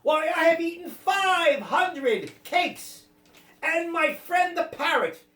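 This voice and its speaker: Storyteller doing a greedy lady cat from a kids story, indignant n boastful, voice jumps high, echoey room. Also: expressive, a bit bright, exaggerated voice. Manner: drawn-out indignant echoing questions, then rapid boastful listing. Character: gluttonous boastful female cat, indignant and menacing. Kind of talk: theatrical children's storytelling. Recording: roomy echo, distant microphone.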